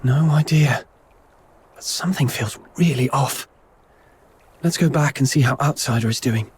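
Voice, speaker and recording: A man's voice: mid-range voice, normal speed, a little hiss or hum, lightly acted. A man voicing an earnest, caring and impulsive young hero in a video game. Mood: thoughtful, uneasy. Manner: earnest, intent.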